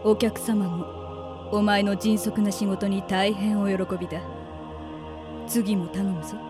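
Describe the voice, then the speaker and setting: A woman playing a calm, commanding crime boss with composed authority in an audio drama. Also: mid-range voice, unhurried, close to an everyday voice. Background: music.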